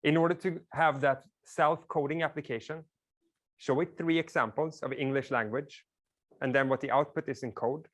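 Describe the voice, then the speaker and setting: A man's voice: fairly high pitch, fairly even intonation, normal speed, a bit bright. A man whose first language is Swedish, giving a casual classroom lecture and explaining things with frequent "like" fillers.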